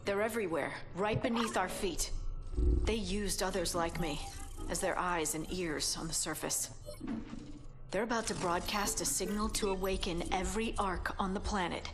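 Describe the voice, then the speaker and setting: An actress playing a haunted, defiant scientist in a sci-fi horror game, grave and intense. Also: female voice, fairly low pitch, close to an everyday voice. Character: haunted, defiant female scientist with grim visions. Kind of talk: video game cinematic acting.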